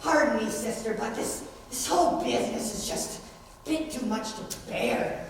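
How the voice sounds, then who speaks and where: A woman's voice: fairly high pitch, normal speed, close to an everyday voice. A young woman acting a pompous, easily duped sheriff in an amateur stage comedy, indignant and blustering. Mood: defeated, exasperated. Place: high school play on a stage. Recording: echoey hall from far off, faint noise.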